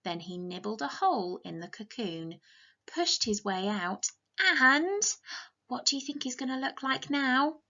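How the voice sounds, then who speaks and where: A woman's voice: a little high, lively intonation, measured pace, bright, forceful tone, lo-fi sound. A woman reading a picture book to young children as a teacher, in an encouraging, sing-song way with questions to the listeners. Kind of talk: interactive children's storytime.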